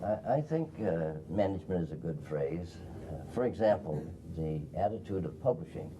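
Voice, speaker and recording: Very deep older man, halting. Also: lively intonation. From Wisconsin, USA. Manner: halting, deliberate. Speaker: panelist, former society president. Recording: noisy 1990s video recording.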